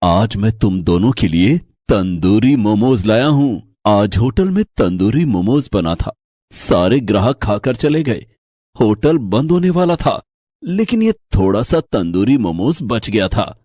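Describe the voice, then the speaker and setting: A man's voice: lowish voice, sing-song, a little slow, mellow, no echo, clean studio sound. A man acting a tender, poor father. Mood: tender, caring. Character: poor, loving father, a troubled hotel waiter. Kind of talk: Hindi moral story voice acting.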